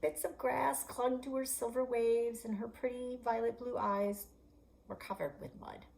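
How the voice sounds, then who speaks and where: A woman, a librarian and author, reading her children's novel aloud in an expressive way, sometimes dropping to a whisper. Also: on the high side, unhurried, vocal fry, rough recording.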